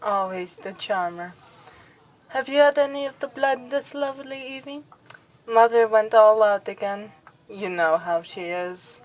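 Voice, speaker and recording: A woman's voice: mid-range voice, a little slow, natural voice, poor audio. A woman playing a young noble vampire princess in an ASMR roleplay, affectionate and formal in her wording. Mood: confiding. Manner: formal, expressive, aristocratic wording.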